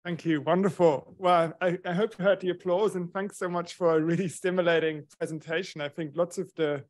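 Brief: high-pitched male chair, measured panel moderator. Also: fairly even intonation.

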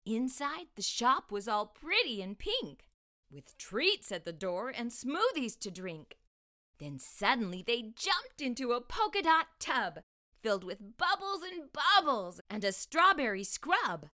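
A middle-aged woman hosts a children's storytime in a fairly high, very lively voice. She reads expressively and unhurriedly, shaping each phrase for young listeners. Her tone is bright and clear-cut. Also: unhurried. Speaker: children's storytime host and reader. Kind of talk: read-aloud storytelling for children. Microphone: lapel mic.

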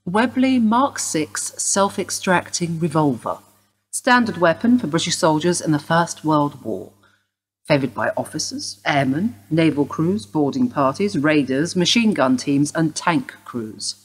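A woman acting in a radio play as a stern police detective, formal, clipped and insistent, with a husky voice. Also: low-pitched, expressive, unhurried, full character voice, low-quality recording. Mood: formal, probing. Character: stern, probing female police detective inspector.

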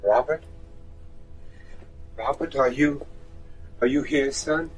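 A man's voice: mid-range voice, slow pace, slightly rough, faint music behind. An actor playing a devout, anguished older father, a judge desperate to save his son, pleading earnestly and theatrically in a gothic horror radio drama. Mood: grave. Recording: noisy radio drama recording.

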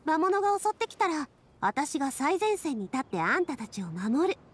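Sunny, very high-pitched young heroine. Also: female voice, very animated, normal speed. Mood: encouraging. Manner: breezy, upbeat. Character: bold, sunny young woman, protective monster hunter. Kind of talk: anime game acting. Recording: music behind the voice.

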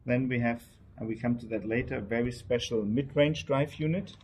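A man explaining a product in an interview as a company representative, in a measured, technical way. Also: mid-range voice, a little hiss or hum. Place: indoor trade show demo room.

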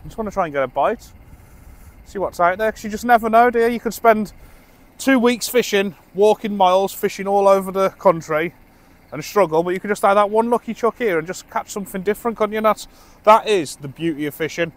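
A man's voice: sits high, a little fast. Chatty British man fishing outdoors. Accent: British English. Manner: chatty, self-amused, talks to camera while fishing. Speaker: angler vlogger. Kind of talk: casual running commentary. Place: dock by the sea at night, outdoors. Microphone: camera on tripod, at a distance.

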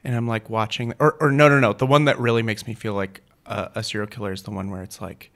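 A man with a dry, deadpan sense of humor, chatting as a guest on a podcast, speaking into a studio mic. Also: lowish voice, fast talker. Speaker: guest comedian. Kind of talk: casual podcast chat. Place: studio set with brick wall. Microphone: studio mic on stand.

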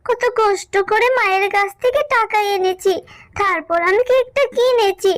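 A woman's voice: extremely high pitch, normal speed, no room sound, studio quality. A woman voicing a poor little village girl in a cartoon, whiny and pleading like a child. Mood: dismayed. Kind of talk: cartoon voice acting.

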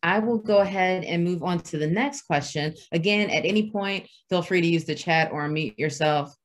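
Woman roundtable facilitator on a video call, measured and appreciative, lowish voice. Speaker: webinar presenter. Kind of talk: online roundtable moderation.

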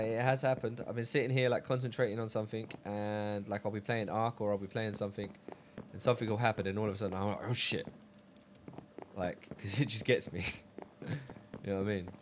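A man's voice: lowish voice, background noise. Chatty man streaming a game. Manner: chatty, reads viewer messages aloud. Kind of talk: casual live-stream chat.

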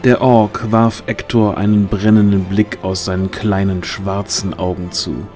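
A man's voice: deep voice, little pitch movement, unhurried, a little mellow. A man narrating a fantasy audio drama in a dramatic, scripted storytelling style. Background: music.

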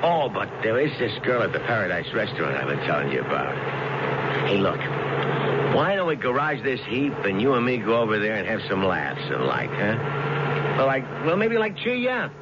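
Casting: hesitant blue-collar sidekick, self-deprecating, lively pitch, 1970s radio drama. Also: male voice, normal speed. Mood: coaxing, chummy. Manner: hesitant, stammering. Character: awkward, self-deprecating working-class male buddy. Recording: muffled, very noisy 1970s radio broadcast.

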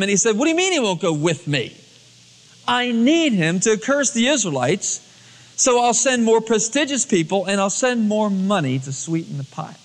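A man preaching a storytelling sermon as a pastor, acting out dialogue in everyday colloquial words. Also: high-pitched. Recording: long hall reverb, cassette tape transfer.

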